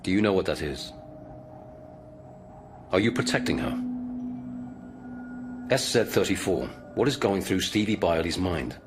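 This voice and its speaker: A man's voice: deep voice, animated, unhurried, long pauses. An actor playing a guarded, privately insecure lawyer in his thirties in a radio drama, talking in a conversational, questioning way. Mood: probing. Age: 30s. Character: male lawyer nearing forty, probing, guarded, privately insecure. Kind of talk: scripted radio drama acting. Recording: music playing underneath.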